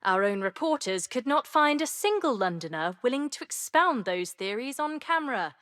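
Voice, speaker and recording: A woman's voice: a little high, very animated, moderate pace, a bit bright, no room sound, studio quality. A woman acting an authoritative television news reporter in a video game, reading a scripted report. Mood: assured.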